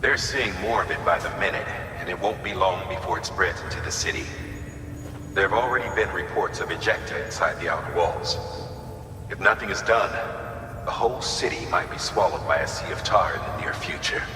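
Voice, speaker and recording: An actor playing an authoritative older commander in a video game, giving a formal, urgent mission briefing. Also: male voice, sits low, fairly quick, natural voice. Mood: grave, instructing. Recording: echoey, long reverb, noisy vehicle ambience.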